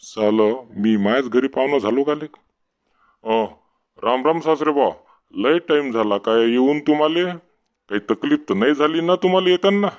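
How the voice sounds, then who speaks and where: A man's voice: medium pitch, moderate pace, natural voice. A man voicing a put-upon, grumbling henpecked husband in a comedy cartoon, with a Varhadi Marathi accent. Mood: sour, then polite. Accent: Varhadi Marathi from Vidarbha. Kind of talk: comedy cartoon dialogue in rural dialect. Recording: dull, muffled sound.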